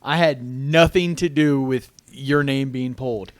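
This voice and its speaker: A man's voice: medium pitch, measured pace, no room sound. A man in casual podcast chat who talks hesitantly, stammering and restarting his sentences.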